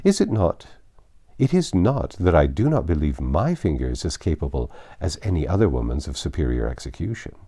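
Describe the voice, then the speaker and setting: A man voicing a witty, playful young gentlewoman in a storybook reading, with an arch, articulate manner. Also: extremely low pitch, big pitch swings, normal speed, heavily acted. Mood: self-deprecating. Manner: arch, teasing, formally articulate.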